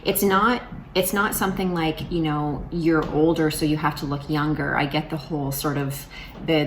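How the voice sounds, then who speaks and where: A woman's voice: sits low, a little hiss or hum, rough recording. A woman in her forties talking casually to camera in a vlog, chatty and rambling, with lots of fillers and restarts. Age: 40s. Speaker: vlogger.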